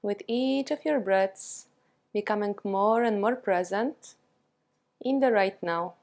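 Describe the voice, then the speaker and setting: Slow-paced woman guiding a meditation. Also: medium pitch, creaky. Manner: calm, soothing, with long pauses between short phrases. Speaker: yoga and meditation teacher. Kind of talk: guided meditation.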